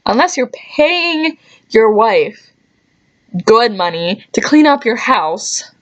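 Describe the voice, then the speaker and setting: A young adult woman telling casual, chatty stories in an animated, exasperated way, with sarcastic asides and mild swearing. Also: a little high, slow, lo-fi sound. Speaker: storytelling vlogger. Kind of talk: casual anecdote vlog, chatty.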